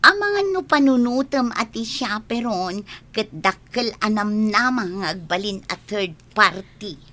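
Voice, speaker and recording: A woman's voice: medium pitch, animated, a little slow, pushed and bright. A woman acting a playful, teasing young best friend in an audio drama, with an Ilocano accent.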